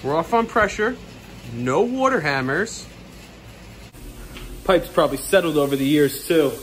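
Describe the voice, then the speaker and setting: A man's voice: on the high side, lively intonation, measured pace, fairly bright tone, slight background noise, poor audio. A man in his thirties talking casually off the cuff as he works as a technician, partly muttering to himself, recorded on a handheld phone. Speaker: HVAC technician filming his workday. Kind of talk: casual off-the-cuff vlog talk. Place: on the job, often in cramped boiler basements. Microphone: handheld phone at arm's length.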